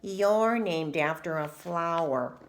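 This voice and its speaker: A woman's voice: fairly low pitch, slow pace, voice pushed down. A woman voicing a haughty, know-it-all schoolgirl in a children's storybook read-aloud. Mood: smug.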